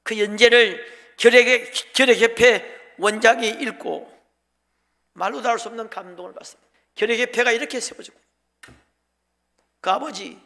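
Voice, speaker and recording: A male pastor delivers a storytelling sermon in a high, bright voice with a gravelly creak at the edges. He speaks emotively and emphatically, setting short phrases apart for weight. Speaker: pastor preaching to a congregation. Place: large church sanctuary during a worship service. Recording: long hall reverb.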